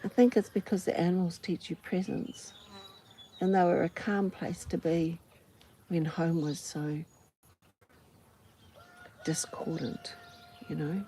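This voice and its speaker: A woman with a New Zealand accent musing aloud in short unhurried phrases with pauses, in an unscripted personal ramble recorded outdoors. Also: fairly low pitch, flat delivery, mellow, slight background noise, poor audio. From Auckland, New Zealand. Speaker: host of a personal ramble vlog. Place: outdoors on a farm doorstep. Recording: outdoor ambience, buzzing flies and insects. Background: flies, insects, farm animals.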